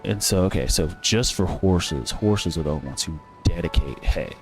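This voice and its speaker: Rambling low-voiced man gaming. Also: normal speed, soft background music. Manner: rambling, thinking aloud, self-correcting. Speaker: gaming streamer. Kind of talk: casual gameplay commentary.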